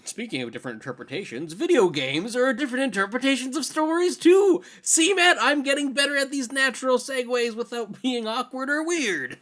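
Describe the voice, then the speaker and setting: A young man co-hosting a podcast with casual, joking banter about films in a playfully dramatic, animated way. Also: very high voice, fairly quick, few pauses. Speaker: podcast co-host.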